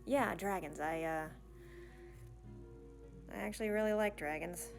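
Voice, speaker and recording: A woman's voice: on the low side, a little slow, creaky, faint music behind. A woman playing an awkward, bashful young princess in a fantasy audio roleplay, hesitant and stammering, rambling in casual modern phrases. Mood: shy, enthusiastic. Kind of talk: ASMR romantic fantasy audio roleplay, one-sided dialogue.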